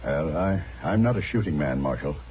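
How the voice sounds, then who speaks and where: Stiff courteous husband, deep, measured wording, radio drama, dull recording with faint noise. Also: male voice, animated. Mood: apologetic, polite. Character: formal, courteous, protective gentleman husband. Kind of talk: 1950s Western radio drama acting. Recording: muffled, faintly noisy old radio recording.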